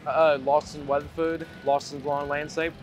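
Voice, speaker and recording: A man's voice: a little high, level tone, normal speed. A young man giving a casual testimonial in an outdoor interview. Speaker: lawn landscape business attendee. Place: outdoors at a trade expo. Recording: outdoor interview with faint music.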